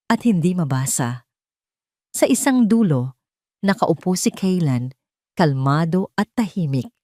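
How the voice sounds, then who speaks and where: Filipino woman storyteller, lower-pitched voice, dry close sound, dramatic scripted narration. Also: no echo.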